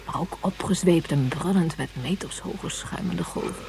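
A woman's voice: lowish voice, moderate pace, gravelly, darkish tone. A woman acting a wistful, caring mother in a Dutch science fiction radio drama, on a noisy recording. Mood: wistful, dreamy.

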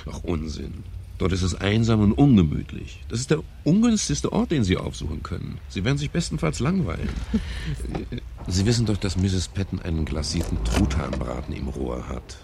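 Easygoing gentleman detective, deep gravelly voice, dry understated radio noir. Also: very animated, moderate pace, a little hiss or hum, exaggerated voice. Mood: wry, coaxing. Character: wry, easygoing male private detective, gentlemanly. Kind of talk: 1970s German radio crime drama, noir first-person narration and dialogue.

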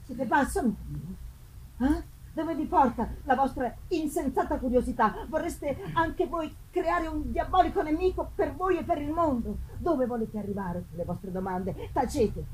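A woman's voice: high voice, expressive, voice pushed up. Woman reading as anguished dying scientist, noisy recording. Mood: agitated, indignant. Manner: solemn, declamatory, halting phrases. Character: anguished, dying male scientist, remorseful and feverishly intense. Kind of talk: dramatic literary reading of a gothic novel. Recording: clearly noisy live reading with ambience.

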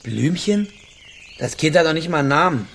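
A brusque, guilt-ridden backwoods man for a radio drama. His voice is fairly high and hard-edged, with impatient, curt delivery. Faint birds and insects sound behind him. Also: lively intonation, moderate pace, gravelly, a bit bright, a little hiss or hum. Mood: gruff, irritated.

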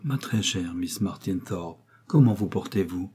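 Male reader doing a fawning lovesick young suitor, gushing and theatrical, pitched up, husky tone, storybook. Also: lively intonation, moderate pace, mellow. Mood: gushing, pleading.

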